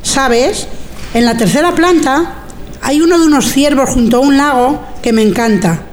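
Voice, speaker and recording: A woman's voice: high-pitched, normal speed, a little hiss or hum, lightly acted. A woman playing a chatty, motherly museum cleaner. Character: chatty middle-aged female museum cleaner, friendly.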